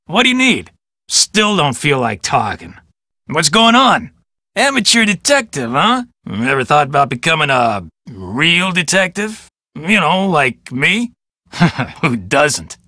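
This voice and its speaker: Boastful American detective, video game, higher-pitched male voice, very lively intonation, self-important, dismissive. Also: no echo, studio quality, heavily acted. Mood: cocky. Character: vain, boastful, defensive male police detective. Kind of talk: video game character acting.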